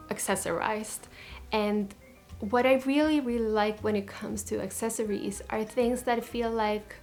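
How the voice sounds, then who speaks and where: Chatty woman vlogging. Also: mid-range voice. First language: Swedish. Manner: enthusiastic, chatty, with frequent restarts. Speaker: fashion vlogger. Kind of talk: casual chat to camera.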